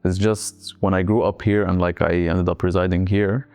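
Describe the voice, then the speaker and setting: A young man from Algeria, speaking English as a second language, tells his life story in a relaxed, reflective way and often hedges with "let's say". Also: low-pitched, normal speed, no room sound. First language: not English. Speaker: interviewee.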